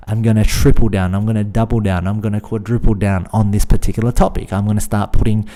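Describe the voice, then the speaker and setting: A man's voice: lowish voice, little pitch movement, fast talker, mellow. A man with an Australian accent hosting a podcast, giving earnest coaching talk and often repeating and restarting words. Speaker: podcast host and fitness business coach. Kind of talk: casual solo podcast talk.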